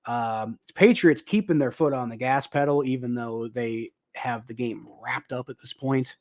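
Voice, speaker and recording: A young man doing casual live football commentary, thinking out loud with lots of ums and uhs, his voice a little dry and raspy. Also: mid-range voice, a little slow. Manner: rambling, thinking aloud with many ums and uhs. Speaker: livestream host commentating football.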